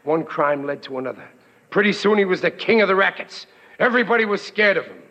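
A man's voice: sits high, animated, fast talker, sharp tone, full character voice. An actor playing a dim, blustering working-class family man in a 1940s radio comedy, slangy and melodramatic. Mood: ominous storytelling. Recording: dull, lo-fi old radio recording.

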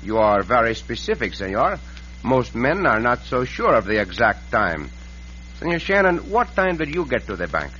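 An actor playing a confident, shrewd Mexican cowboy hero in a radio western, speaking formally and courteously with a Mexican accent. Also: male voice, medium pitch, very animated, moderate pace, bright, forceful tone. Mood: assured, probing. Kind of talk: 1940s-50s radio western acting. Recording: noisy muffled old radio recording.

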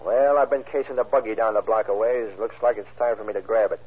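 An actor playing a cocky, hard-boiled American crook and self-styled general, talking defiant slang in an old-time radio crime drama. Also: male voice, on the low side, quick pace, husky. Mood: brash, defiant. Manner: slangy, defiant tough-guy talk. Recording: muffled, tinny old radio recording.

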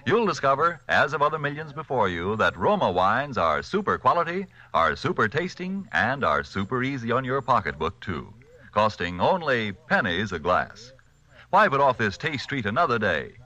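Male vintage radio ad announcer: polished, emphatic, swooping intonation, bright projection. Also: medium pitch, very animated, moderate pace. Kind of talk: 1940s radio commercial read. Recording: vintage 1940s radio broadcast.

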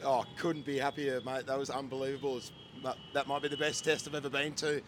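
A man, a co-host in a casual podcast chat, a little hoarse from days of shouting and eager to rattle off numbers. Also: medium pitch, level tone, fast talker, background noise. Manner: reels off stats, enthusiastic.

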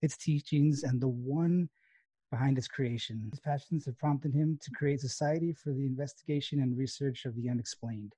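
A man's voice: mid-range voice, moderate pace, warm tone, slightly rough, no room sound, rough recording. A man from West Texas, hosting an interview in a loose, filler-heavy way. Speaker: podcast host. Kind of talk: casual interview talk.

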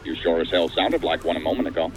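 Man acting dry, deep-voiced agency director. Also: quick pace, natural voice. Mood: businesslike. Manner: dry, formal, authoritative. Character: unflappable, dryly defiant male assistant director of agents. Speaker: actor in a science fiction audio drama. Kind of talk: audio drama acting.

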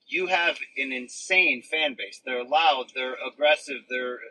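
A man's voice: mid-range voice, measured pace, sharp tone, no room sound, low-quality recording. A man interviewing a boxer, asking probing, eager questions.

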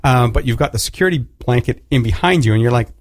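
A man hosting a casual radio interview, chatty and quick to laugh, with loose fillers as he talks. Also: medium pitch, animated, no echo, studio quality. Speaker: radio host. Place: radio studio.

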